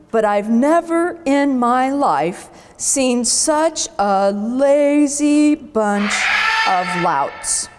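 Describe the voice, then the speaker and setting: Storybook sloth principal done by a woman: wry, teasing, very drawn-out delivery, long reverb. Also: fairly high pitch, darkish tone.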